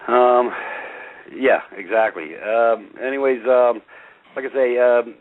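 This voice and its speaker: A man in his fifties hosting a talk show, loud and passionate, rambling with many fillers. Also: medium pitch, steady tone, takes it slowly. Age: 50s. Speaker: radio show host. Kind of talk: casual talk show chat. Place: front porch outdoors. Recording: tinny phone line.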